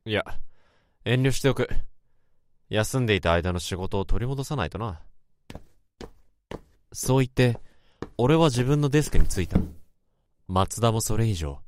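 An actor playing a male office worker who can hear thoughts, narrating a radio drama in a measured, introspective way. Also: lowish voice, animated, a little hiss or hum. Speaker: narrator and lead character.

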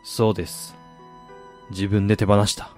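An actor playing a weary, reflective middle-aged man in a radio drama, recounting his losses in a measured, confessional way. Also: lowish voice, flat delivery, a little mellow, soft background music, close to an everyday voice. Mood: sorrowful, resolute. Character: weary man who lost family, confessional, brooding. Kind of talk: Japanese radio drama acting.

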